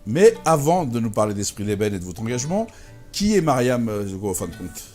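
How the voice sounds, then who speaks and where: A male talk show host speaks quickly in a conversational interview style, readily chiming in with his own remarks. His pitch is average, and faint music plays behind him. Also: very animated, a little mellow.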